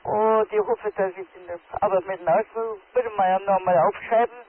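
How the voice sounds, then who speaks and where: A man's voice: sits high, steady tone, normal speed. Tyrolean man on a tinny radio link. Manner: rambling, homely, ends phrases with a tag question. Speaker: amateur radio operator. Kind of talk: casual radio contact chat. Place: outdoors in a garden. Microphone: handheld radio and phone held close. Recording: tinny radio transmission with crowd behind.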